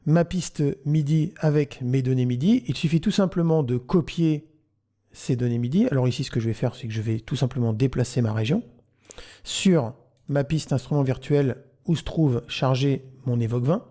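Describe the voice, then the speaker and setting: Mellow, dark-voiced man giving a tutorial. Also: mid-range voice, normal speed. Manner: explanatory, step by step. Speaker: tutorial host. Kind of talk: software tutorial narration.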